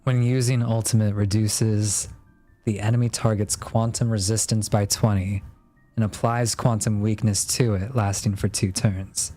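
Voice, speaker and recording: A man's voice: on the low side, flat delivery, moderate pace, mellow. A man streaming a video game with casual live commentary, tossing out exclamations and muttering as he reads along. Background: faint game music.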